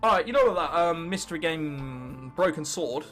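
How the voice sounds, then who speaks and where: Teen english lad thinking out loud over a game, self-mocking n excitable, really animated pitch, music in background. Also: male voice, normal speed. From England. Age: late teens. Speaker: gaming video host. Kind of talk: gameplay commentary, thinking aloud.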